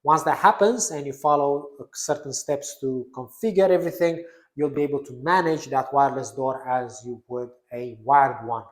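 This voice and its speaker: A man with a medium-pitched voice delivers a semi-scripted product presentation. He explains things as a presenter would. The recording has a clear roomy echo around his voice. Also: moderate pace.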